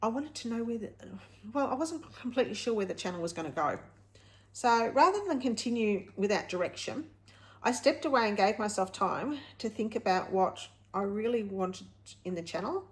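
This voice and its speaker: A woman at an ordinary, middle pitch. She chats informally to her viewers as a vlog host. Her delivery is candid and rambling, as she circles back to rephrase and correct herself. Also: expressive, moderate pace. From Australia. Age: late 50s. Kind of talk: casual personal vlog, chatting to viewers.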